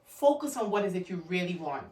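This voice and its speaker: A woman's voice: on the low side, big pitch swings, a little fast, a little mellow, echoey room, recorded from a distance. A woman with a Guyanese accent giving an emphatic motivational talk, repeating her key words for stress. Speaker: motivational vlogger.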